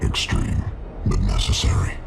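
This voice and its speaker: A man's voice: a little slow, close to an everyday voice. A man playing a hulking alien crime lord in a video game, cold and menacing, with a guttural growl and formal, imperious delivery. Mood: cold disdain. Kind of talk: video game villain acting. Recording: loud music behind the voice.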